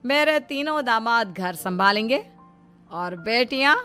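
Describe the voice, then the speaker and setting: A woman's voice: on the high side, lively intonation, a little slow, over music. A woman voicing a bossy middle-aged mother-in-law in a dramatic, emphatic cartoon style. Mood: firm. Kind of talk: Hindi cartoon story acting.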